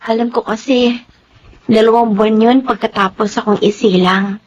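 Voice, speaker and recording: A woman's voice: on the high side, steady tone, moderate pace, highs cut off, a little hiss or hum, lightly acted. An actress playing a sweet, earnest young girl in a radio drama, with a respectful, plaintive way of talking. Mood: sweet, eager.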